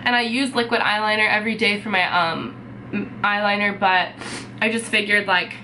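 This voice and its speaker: A woman's voice: medium pitch, steady tone, moderate pace, pushed and bright, a little hiss or hum, low-quality recording. A congested young woman, chatting casually to camera as a beauty vlogger in her bedroom, full of "um" and "like". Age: young adult. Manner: chatty, many fillers like "um" and "like". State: sick and congested. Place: bedroom, indoors.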